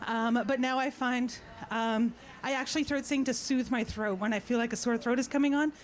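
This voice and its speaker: A woman with a high voice speaks to a festival crowd as presenter and performer. She is chatty and playful, explaining things informally as she goes. The live outdoor sound has clear noise behind her. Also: steady tone, normal speed. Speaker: throat singer and presenter. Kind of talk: informal stage talk to audience. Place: outdoor festival stage at a park.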